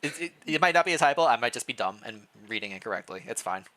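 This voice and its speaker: Bright-voiced man reading a story aloud. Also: mid-range voice, animated, fast. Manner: stumbles over words while reading aloud, self-deprecating. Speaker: streamer reading fan fiction aloud. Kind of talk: expressive story narration with casual chat.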